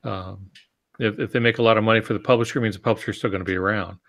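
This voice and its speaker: A man's voice: lowish voice, fairly even intonation, very fast, a bit bright. A man co-hosting a podcast, giving conversational advice in a plainspoken, matter-of-fact way. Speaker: podcast co-host.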